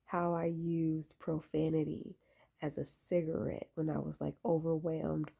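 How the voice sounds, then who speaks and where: A woman in her late twenties speaks casually, like a vlogger giving heartfelt life advice. Her voice is dark and mellow with a gravelly fry, and she takes her time. She leans on key phrases, repeating them for emphasis. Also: low voice, level tone, measured pace. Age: late 20s. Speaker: vlogger giving faith-based lifestyle advice. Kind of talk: casual talk to camera, exhorting.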